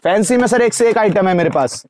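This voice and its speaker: A young man in a crowded cosmetics shop, rattling off wholesale prices per dozen and per piece in a rapid sales patter. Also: high-pitched, darkish tone, dry recording. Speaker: wholesale shopkeeper. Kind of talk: sales pitch to camera.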